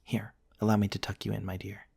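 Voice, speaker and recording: A man playing a gentle, courteous centuries-old vampire prince in an intimate ASMR roleplay, soft-spoken and formal, with hesitant pauses. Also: fairly low pitch, level tone, vocal fry, breathy edge, exaggerated voice. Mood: tender, soothing. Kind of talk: intimate ASMR roleplay, storytelling to one listener.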